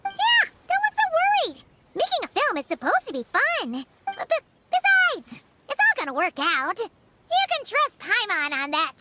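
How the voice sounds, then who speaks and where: A woman voicing a tiny excitable fairy-like girl companion in a video game, squeaky and childlike, bubbly and cheeky. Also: moderate pace, heavy background noise, heavily acted. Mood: reassuring. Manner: bubbly, speaks of herself in third person.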